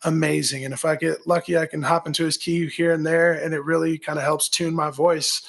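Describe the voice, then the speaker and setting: A man with a raspy voice, a musician guest talking in an energetic, pep-talk way and often tagging on "right?". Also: a little high, brisk. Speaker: musician guest, former baseball pitcher. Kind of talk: casual interview talk.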